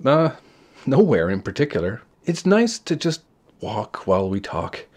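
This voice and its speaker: A kindly, ageless God of a storybook, acted by a man. He talks slowly in a mid-pitched, very expressive voice, offhand and reassuring about the biggest matters. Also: breathy edge. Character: casual, matter-of-fact, kindly God, ageless authority figure. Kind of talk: storybook character voice.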